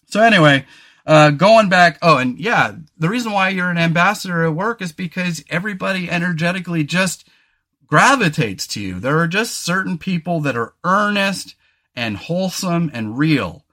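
Male podcast host, higher-pitched and bright, chatty and profane, casual banter. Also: expressive, moderate pace. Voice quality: deep booming voice. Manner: talkative, swears casually, self-deprecating. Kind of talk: casual chat.

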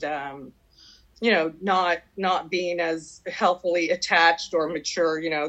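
A woman's voice: fairly low pitch, fairly bright tone. A middle-aged woman from Canada whose first language is French, candidly sharing her personal story at a support meeting. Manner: candid, confessional, reflective. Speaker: recovery group member sharing her story. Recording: dull lo-fi recording.

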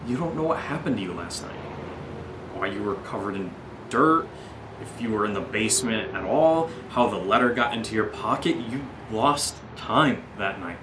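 Young man, played by an actor, lowish voice, flippant and jumpy, halting horror-drama delivery, roomy and clearly noisy sound. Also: expressive, natural voice. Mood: insistent. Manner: casual, hesitant, halting. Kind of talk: naturalistic horror audio drama dialogue.

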